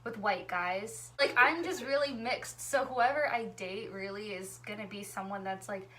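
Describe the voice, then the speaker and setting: A woman's voice: mid-range voice, moderate pace, sharp tone. A woman hosting a casual couple vlog, talking in an easygoing, chatty way and laughing often. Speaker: vlogger hosting a couple tag video with her fiancé. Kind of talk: casual chat.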